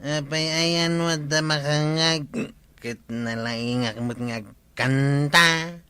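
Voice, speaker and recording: A man's voice: fairly high pitch, fairly even intonation, slow pace, pushed and bright, smooth, no echo. A man with an Ilocano accent playing a tipsy villager in a comedy drama, drawling his words.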